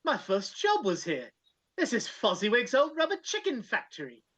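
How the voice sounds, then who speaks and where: A woman's voice: a little high, wildly swooping pitch, normal speed, fairly bright tone, breathy edge, heavily acted. A woman reading the part of an old miser looking back on his youth, in a live script reading. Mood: nostalgic, excited. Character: Scrooge, old miser reliving youthful memories. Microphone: video call. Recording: dull lo-fi video call.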